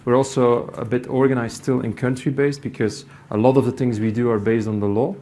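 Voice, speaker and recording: A middle-aged man from Belgium, a native Dutch speaker with a Belgian Dutch accent, giving a conference talk in a meeting room, halting, with many fillers and restarts. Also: medium pitch, steady tone, dark tone, slight background noise. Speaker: conference speaker, company IT executive. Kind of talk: conference presentation.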